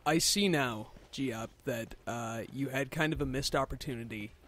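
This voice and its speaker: A man's voice: mid-range voice, moderate pace, fairly bright tone, slight background noise. A man giving casual, wry, joking commentary as he plays a video game. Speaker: let's play commentator.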